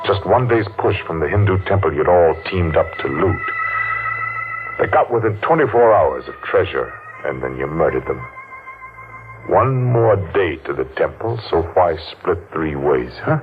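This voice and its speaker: An actor playing a menacing masked man seeking revenge, taunting and deliberate, in 1940s radio acting style. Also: low-pitched, animated, faint music behind. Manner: taunting, slow and deliberate, laughs mockingly. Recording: muffled old radio recording.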